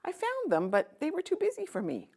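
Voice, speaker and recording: Fatherly storybook king in merchant's disguise, read by a woman: gentle, reflective, widely varied pitch. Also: wildly swooping pitch, a little fast, creaky, exaggerated voice. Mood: matter-of-fact. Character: kind, weary traveling king disguised as a merchant. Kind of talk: children's storybook read-aloud.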